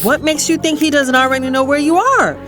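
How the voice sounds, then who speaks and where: A woman's voice: sits high, fairly quick, fairly bright tone. A woman playing a sassy, nosy, blunt female confidante in an audio drama. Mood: skeptical.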